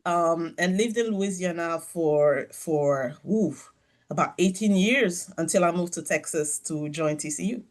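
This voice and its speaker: A woman raised in France, a native French speaker, talks about her life as an interview guest. She tells her story in a measured, reflective way and laughs softly now and then. She is speaking over a video call from her office. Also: fairly low pitch. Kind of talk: conversational interview.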